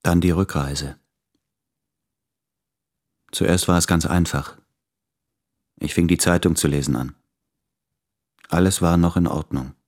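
A man's voice: very deep voice, dry recording, clean studio sound. An actor playing a weary, anxious man of thirty-eight in a brooding, intimate radio drama monologue. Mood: troubled wonder. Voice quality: gravelly, husky. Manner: brooding, hushed, deliberate.

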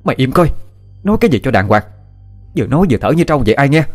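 A man's voice: mid-range voice, very animated, rapid-fire, soft background music, voice pushed up. A man voicing a desperate, accusing middle-aged mother with a Southern Vietnamese accent in a dramatised audio story. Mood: angry. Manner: forceful, insistent, repeated demands.

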